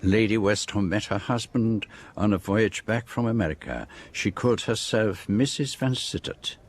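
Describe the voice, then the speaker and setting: An actor playing a shrewd, precise Belgian detective with a French-speaking accent, formally laying out a case in a radio drama. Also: male voice, lowish voice, lightly acted. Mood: measured, assured. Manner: measured, precise, formal explanation. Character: shrewd, precise, theatrical Belgian detective, middle-aged man.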